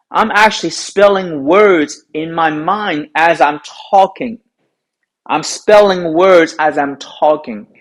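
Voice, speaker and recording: A man's voice: fairly high pitch, rough recording. A man with a Chinese accent, whose first language is Chinese, giving an earnest, emphatic golf lesson to camera. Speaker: golf coach. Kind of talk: instructional talk to camera.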